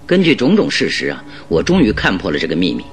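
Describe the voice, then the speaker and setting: A man playing a shrewd, composed master detective in a radio drama, explaining with deliberate authority. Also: deep voice, wildly swooping pitch, full character voice. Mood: explaining, assured.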